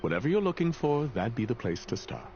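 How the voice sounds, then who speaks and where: An actor playing a kindly, apologetic, self-doubting professor in a video game, speaking in a gentle, halting way. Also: male voice, mid-range voice, very animated, muffled recording, faint music behind, natural voice. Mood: helpful. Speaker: professor and teacher character.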